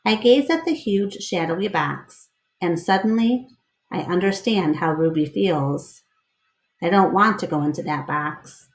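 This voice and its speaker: Female storyteller for children's book read-aloud: unhurried, varied intonation, roomy echoing recording. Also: lowish voice. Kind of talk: expressive children's book narration.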